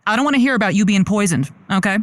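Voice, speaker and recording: A woman playing a sympathetic, encouraging radio advice host on a comedy show, speaking in a deliberate, wry, supportive way. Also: mid-range voice, lively intonation, lightly acted.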